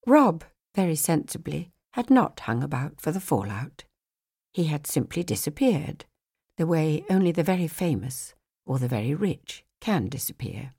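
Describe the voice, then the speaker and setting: Low-pitched woman narrating a novel. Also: big pitch swings, normal speed, a little mellow, breathy edge. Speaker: audiobook narrator.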